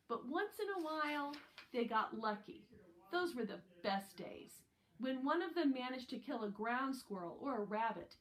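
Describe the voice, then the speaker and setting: A woman's voice: on the high side, poor audio. A woman narrating a children's novel aloud as a scripted reading. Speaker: audiobook reader.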